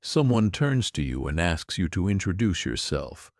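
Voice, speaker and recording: A man with a very low voice teaches an English lesson. His pitch rises and falls freely as he explains each point patiently and clearly, and the sound is close and dry with no room echo. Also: sing-song, moderate pace. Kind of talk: scripted lesson to the camera. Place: in Europe.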